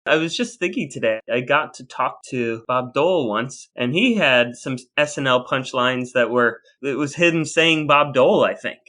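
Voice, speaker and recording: A man's voice: mid-range voice, normal speed, few pauses, sharp tone. A man co-hosting a podcast in casual, joking chat, with a wisecracking way of talking. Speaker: podcast co-host.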